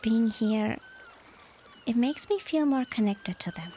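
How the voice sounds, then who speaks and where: A woman playing a tender, nostalgic former mermaid and devoted wife in a soft, unhurried, half-whispered ASMR roleplay. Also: a little high, slight background noise, lightly acted. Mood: wistful, homesick. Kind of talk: ASMR romantic roleplay, softly spoken.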